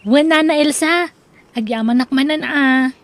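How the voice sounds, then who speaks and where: An actress playing an earnest, respectful young daughter in an Ilocano radio drama, emotive, with an Ilocano accent. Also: female voice, sits high, a little slow, no echo. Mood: respectful, timid.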